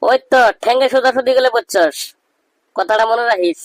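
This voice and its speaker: A man voicing a cheeky, boastful young village know-it-all in a comedy cartoon, with a rural Bangladeshi accent and a pitched-up voice. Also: a little fast, dry recording. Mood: mocking, emphatic. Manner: bragging, teasing, declamatory.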